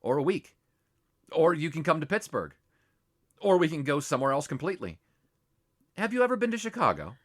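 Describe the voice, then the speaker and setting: An actor playing an earnest young sportswriter from the 1920s in an audio drama. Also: male voice, on the high side, sing-song, a little fast, long pauses. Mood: tender. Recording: music playing underneath.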